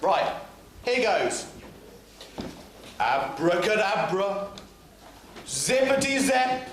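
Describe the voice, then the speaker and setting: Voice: medium pitch, very animated, extremely slow. An amateur performer playing a cheeky, lovestruck young male servant in a stage pantomime, with a playful, theatrical delivery. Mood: playful, boastful. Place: stage in a hall. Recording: echoey hall, faint noise.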